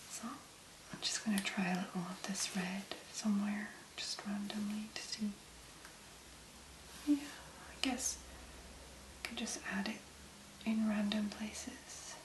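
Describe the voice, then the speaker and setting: A woman doing soft-spoken ASMR make-up commentary in a breathy, mostly whispered voice, musing gently as she works. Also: medium pitch, roomy sound, background noise. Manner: slow, gentle, unhurried musing. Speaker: ASMR video creator.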